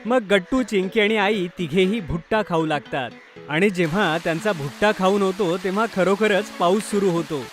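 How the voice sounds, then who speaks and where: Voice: medium pitch, normal speed, soft background music. A narrator reading a scripted children's story.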